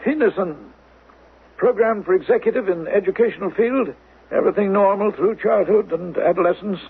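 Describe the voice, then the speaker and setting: A man's voice: high voice, a little fast, breathy edge, exaggerated voice. A man acting in a 1970s radio drama as a cold, officious controller of a futuristic security state, speaking formally and imperiously. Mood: clinical, reporting. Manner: formal, imperious, dry bureaucratic phrasing. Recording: thin, phone-like 1970s radio sound.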